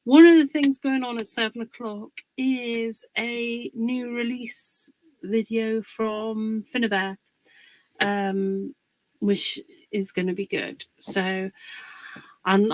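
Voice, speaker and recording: A woman hosting a casual live craft demonstration, a little husky from a cold, chatting and greeting viewers by name. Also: medium pitch, fairly even intonation, takes it slowly, a little hiss or hum, poor audio. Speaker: craft livestream host.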